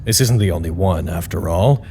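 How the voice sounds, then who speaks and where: An actor playing a wry, deadpan announcer reading chatty status reports in a scripted audio drama broadcast. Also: male voice, low-pitched, moderate pace, a little hiss or hum, close to an everyday voice. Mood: wry, dry. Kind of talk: scripted in-character radio broadcast. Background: music.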